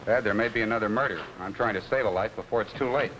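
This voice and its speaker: A man's voice: on the low side, fast talker, natural voice. An actor playing a persistent amateur detective in an early 1950s TV mystery, hesitant at first, then pointed in his questioning. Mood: earnest. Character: persistent, probing amateur detective, son of a policeman. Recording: noisy, clicking 1950s TV soundtrack.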